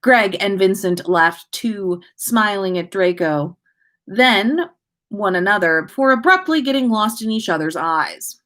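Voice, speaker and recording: Female podcast host, mid-pitched, unhurried storytelling read for a livestream. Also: lively intonation, a bit bright. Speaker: podcast host reading listener stories.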